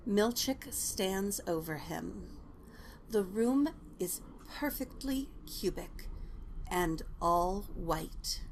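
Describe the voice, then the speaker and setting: A woman's voice: medium pitch, animated, drawn-out words, slight background noise, poor audio. A woman narrating scripted stage directions for a drama.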